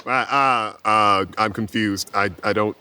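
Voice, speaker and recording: A man chatting casually as a player in a tabletop game, speaking in a halting, hesitant way. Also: fairly low pitch, steady tone, pushed and bright, smooth, no room sound. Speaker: player in tabletop role-playing podcast. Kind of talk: casual improvised game chat.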